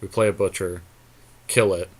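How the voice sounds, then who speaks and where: Male gaming podcast co-host, fairly low pitch, conversational, thinks aloud while commentating play. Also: steady tone, moderate pace, rough recording. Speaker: podcast co-host commenting on a card game match.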